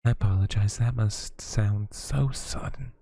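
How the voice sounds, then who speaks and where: Man playing a lonely woodland witch mother, low voice, slow, mellow, gentle, hesitant, sighing. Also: fairly even intonation, no room sound, studio quality. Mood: wistful. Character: lonely motherly witch woman living alone in the woods. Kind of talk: audio roleplay acting.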